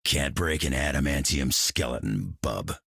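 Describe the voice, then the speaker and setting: A man voicing a tough, taunting clawed mutant fighter in a video game, with a gruff, growling delivery. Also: lively intonation, unhurried, fairly bright tone, no echo, clean studio sound, full character voice. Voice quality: very deep, gravelly growl. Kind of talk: video game character dialogue.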